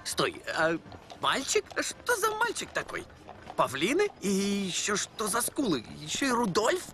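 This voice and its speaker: Excitable, swooping, jealous boy. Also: medium pitch, unhurried, soft background music, heavily acted. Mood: jealous, flustered. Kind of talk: animated film voice acting.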